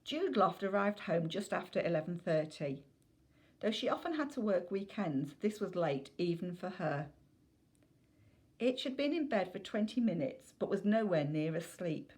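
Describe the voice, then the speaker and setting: A woman's voice: on the low side, big pitch swings, normal speed, darkish tone. A woman reading a children's novel aloud as scripted narration.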